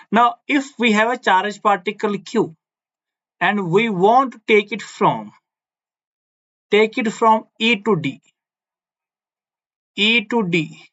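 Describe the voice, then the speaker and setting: High-voiced teacher with a Kashmiri background. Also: male voice, a bit bright, dull audio. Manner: repeats key phrases, asks "no?" for agreement. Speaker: physics teacher. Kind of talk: blackboard physics lecture.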